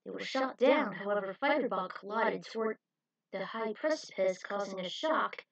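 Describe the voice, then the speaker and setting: A woman's voice: mid-range voice, lively intonation, normal speed, lo-fi sound. A woman reading scripted narration of an action story as its narrator. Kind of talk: scripted fan fiction narration.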